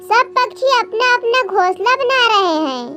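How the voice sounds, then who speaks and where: A woman voices a little female bird in a children's cartoon. The voice is extremely high and childlike, plain-spoken, with music clearly playing behind it. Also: a little slow. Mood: bossy, scheming. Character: small female cartoon birds, wives and mothers. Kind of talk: children's animated moral story dialogue.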